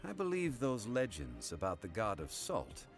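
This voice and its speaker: Calm scholarly gentleman, very low voice, expressive intonation, formal, deliberate, unyielding game character. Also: faint music behind. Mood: composed, probing. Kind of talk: English game voice acting.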